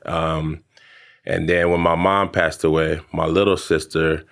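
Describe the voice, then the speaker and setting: A man in his thirties from American Samoa, a podcast guest telling his story in a halting, casual way with many fillers and restarts. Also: very deep voice, little pitch movement, creaky, a bit bright. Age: 30s. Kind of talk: casual interview chat.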